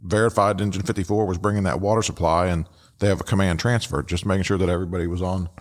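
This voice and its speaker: A man with a low voice speaks as a podcast host. He gives loose, unscripted commentary in a halting way, repeating words and adding casual asides. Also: steady tone.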